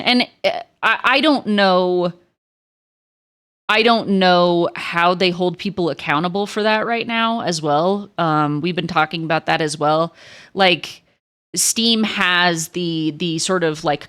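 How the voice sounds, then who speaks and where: Crackly low-voiced woman streamer. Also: fairly bright tone, smooth. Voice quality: crackly lower voice. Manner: frank, swears a lot, many restarts. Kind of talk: casual chat to stream viewers.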